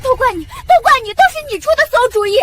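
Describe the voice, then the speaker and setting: A woman's voice: very high voice, expressive, fast talker, fairly bright tone. An actress playing a haughty, defiant female military officer in a costume drama, brazen and scornful. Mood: panicked blame. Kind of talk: costume short-drama acting.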